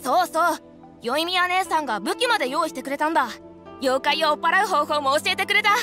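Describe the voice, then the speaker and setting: Sweet earnest kid boy in a game, real high sharp voice, eager, bit of music. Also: expressive, fairly quick, full character voice. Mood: insistent. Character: earnest, trusting young village boy. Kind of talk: video game voice acting.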